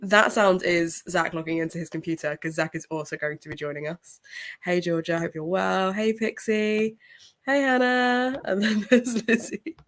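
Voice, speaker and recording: A young woman hosts a casual book livestream, her voice sitting a little low and thick from a blocked nose and sore throat. She chats warmly with viewers, greeting them by name and breaking into laughter often. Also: fairly even intonation, moderate pace, soft background music. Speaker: book channel host.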